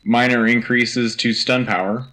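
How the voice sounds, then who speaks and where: A man playing an earnest, patriotic radio anchor reading military news in a crisp, urgent, official manner. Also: mid-range voice, fairly even intonation, measured pace, pushed and bright. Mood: brisk, official. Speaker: radio host. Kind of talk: scripted military news bulletin.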